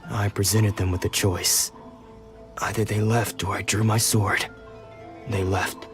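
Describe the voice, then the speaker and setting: A man's voice: on the low side, level tone, a little slow, over music, close to an everyday voice. A man voicing a brooding, troubled man. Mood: dry. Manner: brooding, melancholic, soft. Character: weary, troubled man who lost his memories. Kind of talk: video game voice acting. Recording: game score behind.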